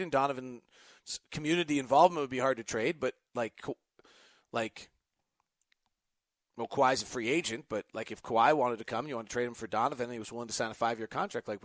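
A male host giving unscripted sports talk, thinking aloud haltingly and throwing out rhetorical questions. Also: medium pitch, fairly bright tone, no room sound. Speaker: host, sports radio announcer answering fan questions.

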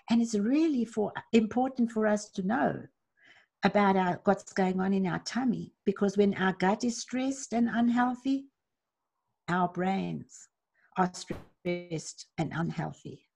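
A woman leading parents through a slow, gentle guided meditation, asking soft reflective questions with long pauses. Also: medium pitch, vocal fry, breathy edge. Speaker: parenting coach guiding a reflection exercise.

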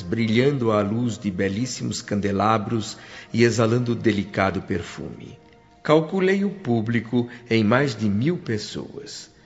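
A man's voice: on the low side, a little mellow, muffled recording. A Brazilian actor playing an earnest, curious man new to the spirit world in a radio drama, speaking in a formal, reflective way. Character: André, curious, earnest, eager male newcomer to spirit world.

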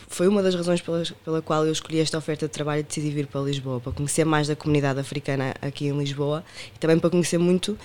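Uma mulher de vinte e poucos anos, com sotaque do Porto, convidada de um podcast. Responde de forma tímida e suave e ri-se baixinho. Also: female voice, low-pitched. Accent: northern Portuguese, from Porto. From Porto, Portugal. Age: 20s. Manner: shy, soft-spoken, with a light laugh. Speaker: podcast guest. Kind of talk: interview answers.

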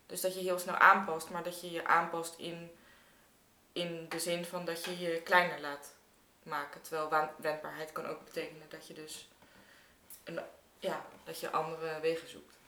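Lowish-voiced woman, echoey room. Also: little pitch movement, normal speed, pushed and bright. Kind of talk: casual podcast discussion. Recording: echoey room with faint hiss.

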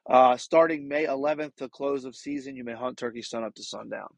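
A man's voice: medium pitch, a little fast, gravelly, no echo. Nasal, sick-sounding young man hosting. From Maryland. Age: late 20s. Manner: rambling, many fillers and restarts. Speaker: podcast host. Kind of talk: casual solo podcast.

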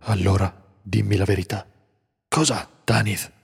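Man as a stern warrior leader, gravelly, commanding, hall reverb, faint music. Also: fairly low pitch, fairly even intonation, normal speed, heavily acted.

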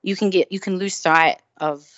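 A woman's voice: on the low side, fairly even intonation, normal speed, a bit bright, no echo, lo-fi sound. An Australian woman chatting casually and effusively as a guest singer-songwriter in an interview. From Melbourne, Australia.

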